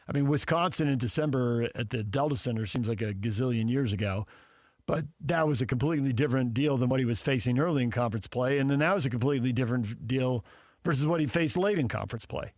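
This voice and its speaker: A man's voice: mid-range voice, fast, no echo, studio quality. A man hosting sports talk radio, discussing in a casual, opinionated way.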